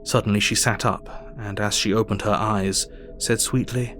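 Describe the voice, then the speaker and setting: A man playing an earnest Victorian doctor, narrating his diary in a measured, formal way for an audio drama. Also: lowish voice, fairly even intonation, a bit bright, soft background music, close to an everyday voice. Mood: sober, tense.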